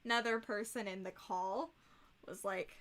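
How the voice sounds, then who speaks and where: Slow, bright-voiced hoarse young woman. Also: medium pitch, fairly even intonation. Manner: blunt, exasperated, swears freely. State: hoarse, rough voice. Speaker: livestreamer. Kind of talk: casual livestream chat.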